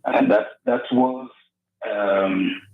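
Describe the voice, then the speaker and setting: A man from Ivory Coast with a French-speaking West African accent, speaking French as his first language, talks about his book as a radio guest, pausing often to find words. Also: lowish voice. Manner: halting, searching for words with many fillers. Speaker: author guest. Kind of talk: radio interview by phone. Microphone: headphone mic over a phone line. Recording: tinny phone line.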